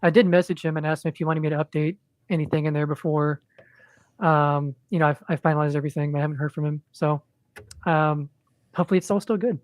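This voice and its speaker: A man chatting as a guest on a podcast, in a monotone, rambling way with lots of ums and restarts. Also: on the high side, fast, no echo, rough recording. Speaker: podcast guest, indie comic creator. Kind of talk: casual podcast chat.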